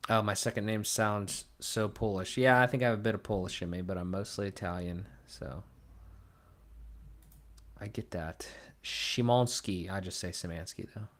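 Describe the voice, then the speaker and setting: A young man from Pittsburgh hosting a casual livestream, thinking aloud and trailing off into low mumbles. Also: normal speed. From Pittsburgh, Pennsylvania. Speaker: livestream host. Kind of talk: casual live co-stream chat. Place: small room at a desk.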